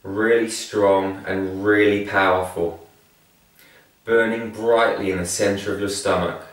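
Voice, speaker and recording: Slow, low-voiced man guiding meditation in echo. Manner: calm, soothing, measured delivery with long pauses. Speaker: meditation guide. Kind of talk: spoken guided meditation. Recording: very echoey room, distant from mic.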